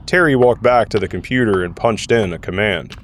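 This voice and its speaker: A man's voice: lowish voice, a little fast. A man reading scripted story narration for an audio drama. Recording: faint ambient noise behind.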